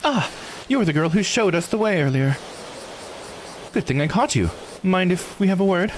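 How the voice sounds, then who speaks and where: A man's voice: high voice, expressive, moderate pace, slight background noise, pitched above the performer's own voice. A man voicing a shrewd, self-assured investigator in a visual novel, probing and insistent yet composed. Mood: confident, insistent. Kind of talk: visual novel character read-aloud.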